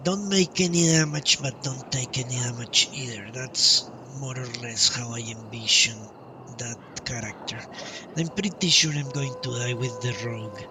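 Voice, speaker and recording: A man livestreaming a video game with casual commentary, thinking aloud in a halting way. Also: mid-range voice, recorded from a distance, noisy background. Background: game music.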